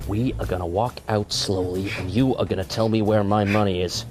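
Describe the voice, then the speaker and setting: A man's voice: fairly low pitch, steady tone, over music, close to an everyday voice. An actor playing a tense, evasive man who has been swindled, in a radio drama. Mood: menacing. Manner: tense, halting. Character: swindled man, nervous, evasive, turning menacing.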